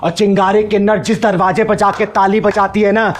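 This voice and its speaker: A man's voice: high-pitched, little pitch movement, normal speed, faint music behind, close to an everyday voice. A man playing a bold, righteous kinnar elder sister in a Hindi short film, speaking emphatically. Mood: righteous scolding.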